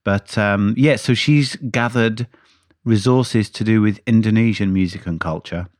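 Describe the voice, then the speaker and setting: Low-voiced man lecturing casually. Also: a little slow, no echo, studio quality. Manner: rambling, many fillers and restarts. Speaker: music education lecturer. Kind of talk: casual spoken lecture update.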